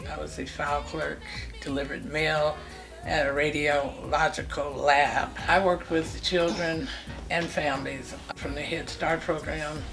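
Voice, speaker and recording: A woman's voice: low voice, fairly even intonation, unhurried. A woman in her sixties from California, looking back on her family life and listing dates and names one after another. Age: 60s. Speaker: interviewee recalling family life. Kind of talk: personal reminiscence. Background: music.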